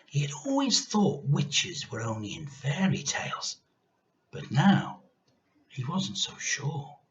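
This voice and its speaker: A man reading a children's story aloud in an expressive, theatrical narrating style. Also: on the high side, slow, lo-fi sound.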